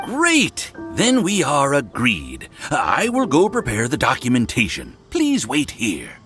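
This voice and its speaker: A wildly swooping, effusive landlord. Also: male voice, mid-range voice, wildly swooping pitch, slow pace, a bit bright, soft background music, exaggerated voice. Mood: pleased. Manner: theatrical, effusive, courteous. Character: effusive, genial male house owner, eager to please. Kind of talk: anime game voice acting.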